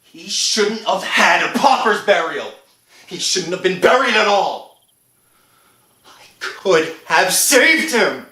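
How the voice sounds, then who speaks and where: Man acting a high-voiced, anguished young man. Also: lively intonation, takes it slowly, pauses often, pushed and bright, reverberant, full character voice. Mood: ashamed, distraught. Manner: agitated, pleading, anguished. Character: trembling, guilt-stricken young man at a graveside. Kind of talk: old-time horror radio drama acting.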